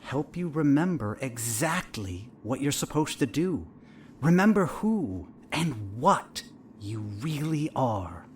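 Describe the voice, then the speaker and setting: An actor playing a calm, probing and coolly manipulative therapist in an audio drama, speaking in a measured, clinical, needling way. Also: male voice, mid-range voice, a little hiss or hum. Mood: quietly sinister.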